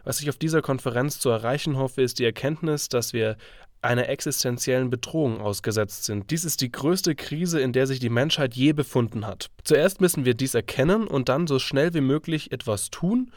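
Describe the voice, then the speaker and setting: A man with a cold hosts a solo podcast. He sounds stuffy and congested and talks in a loose, thinking-aloud way with fillers. His voice is mid-pitched, and the recording is dry and close with no room sound. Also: expressive, moderate pace, dry recording. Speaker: podcast host.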